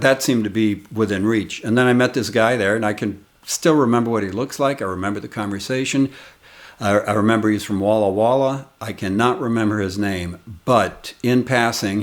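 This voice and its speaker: Older man telling stories. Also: lowish voice. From United States. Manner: rambling, reminiscing, unhurried anecdotes. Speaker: comedian and channel host. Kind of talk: casual storytelling to camera.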